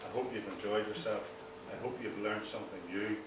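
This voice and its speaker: A man giving an informal public speech as presenter of a local event, in a halting, measured way. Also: on the low side, fairly even intonation. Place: large indoor hall at a public presentation. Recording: echoey hall, distant mic, audience noise.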